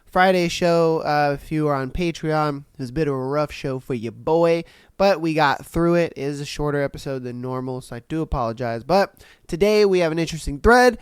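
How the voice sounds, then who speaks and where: A man's voice: on the high side, a little fast, clear, resonant tone, no room sound, clean studio sound. A man hosting a podcast, still recovering from an illness, with a slightly nasal voice and loose, slangy, profane reactions. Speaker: podcast host. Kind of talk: casual commentary on read-out posts.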